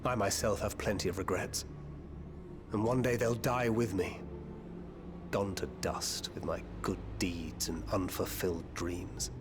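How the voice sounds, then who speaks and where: Game role: weary stoic fighter, lowish voice, grave and regretful, noisy ambience behind him. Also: male voice, fairly even intonation, a little slow. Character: world-weary, regretful male warrior, stoic. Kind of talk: video game cutscene acting.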